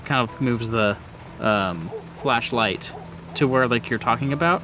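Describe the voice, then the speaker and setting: A man's voice: mid-range voice, a little slow, noisy background. A man narrating a tabletop roleplaying game as game master in an informal, conversational way.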